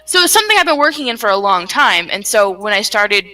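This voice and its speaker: A woman's voice: mid-range voice, big pitch swings, quick pace, recorded from a distance, music in the background, rough recording. A woman in a casual chat about her creative projects, rambling and circling back on her words as she goes. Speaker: game developer, writer and voice actor.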